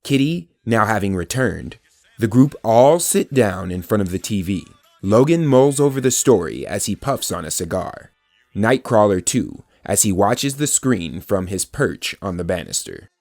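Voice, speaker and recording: A man's voice: fairly low pitch, big pitch swings, a little slow, a little mellow, dry recording. A man narrating a scripted audio drama, describing the action as a storyteller.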